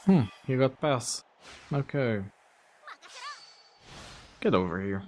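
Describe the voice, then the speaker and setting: A man streaming a video game, chatting casually to viewers in a relaxed, rambling way full of fillers. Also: mid-range voice, slow pace, a little hiss or hum. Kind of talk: casual gameplay commentary.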